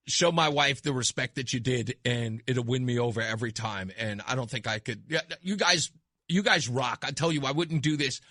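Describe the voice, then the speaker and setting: A male radio host chatting casually in a studio, excitable, laughing often and breaking into loud bursts. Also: medium pitch, dry recording. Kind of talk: casual on-air chat. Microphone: studio microphone.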